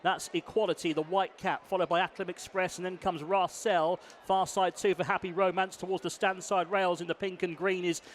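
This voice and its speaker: High-pitched man calling a horse race live. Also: level tone, brisk, hardly any pauses, slight background noise. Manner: urgent running call. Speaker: horse racing commentator.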